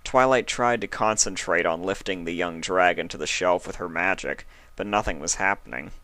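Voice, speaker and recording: Male story reader: lowish pitch, scripted narration, close dry sound. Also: normal speed, pushed and bright, no echo.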